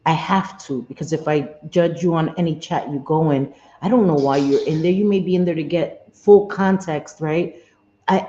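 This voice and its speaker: A woman with a husky smoker's voice hosting a live stream, talking bluntly and earnestly, often repeating herself, with some salty language. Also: deep voice, mellow. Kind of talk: candid livestream chat, reading chat comments. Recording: muffled roomy home stream audio.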